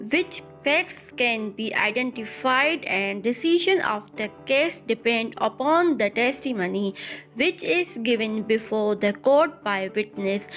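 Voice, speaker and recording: A woman with a high voice and a Pakistani accent delivers a scripted lecture. She reads very deliberately, setting out one point after another in an instructional manner. Music plays behind her voice. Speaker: law lecturer. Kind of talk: scripted lecture, reading aloud bilingually.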